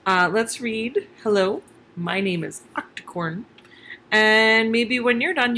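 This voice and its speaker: A woman's voice: mid-range voice, bright, forceful tone, slight background noise. A woman in her thirties casually presenting a read-aloud, speaking expressively and encouragingly to children. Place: small furnished room at home.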